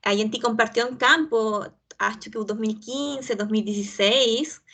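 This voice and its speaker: A woman from Chile with a Chilean accent, a geologist giving an online talk in her second language, pausing and searching for words. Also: on the high side, fairly bright tone. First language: Spanish. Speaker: guest speaker, geologist. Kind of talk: online lecture.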